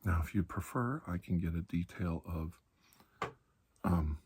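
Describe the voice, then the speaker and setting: A man playing a calm, reassuring doctor in an ASMR roleplay, speaking softly and slowly with pauses, often near a whisper, in a breathy, husky voice. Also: low-pitched, noisy background. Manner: slow, calming, deliberate with long pauses. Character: calm, reassuring older military doctor treating alien infection.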